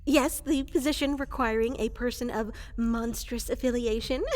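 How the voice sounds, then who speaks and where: A woman's voice: fairly high pitch, steady tone, normal speed, a little mellow, natural voice. A woman voicing a small, fluffy, eager monster girl in a fantasy comedy roleplay, stammering, apologetic and overly formal. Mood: flustered, apologetic. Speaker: applicant for evil advisor post. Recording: reverberant large hall.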